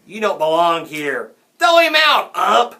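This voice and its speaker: A man voicing harsh, jeering baseball hecklers for a children's storybook, yelling loudly. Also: high-pitched, very animated, pitched above the performer's own voice, heavily acted. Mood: angry, mean. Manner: loud, drawn-out yelling. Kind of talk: children's storybook read-aloud.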